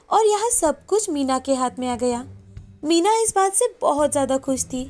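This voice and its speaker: A woman with a very high voice tells a scripted moral tale. She narrates as a storyteller, with faint music playing behind her. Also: a little mellow.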